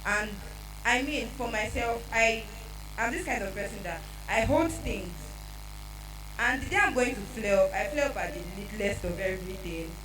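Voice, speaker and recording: A young woman in the audience of a panel, asking a question in a halting way with many fillers. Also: mid-range voice. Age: young adult. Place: large church hall. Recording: roomy hall, distant mic, hum.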